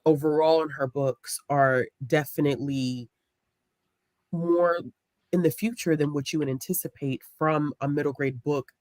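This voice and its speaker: A woman's voice: deep voice, fairly even intonation, normal speed, a little mellow, no echo. A woman co-hosting a casual book discussion, talking in an emphatic, conversational way. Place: online group video call.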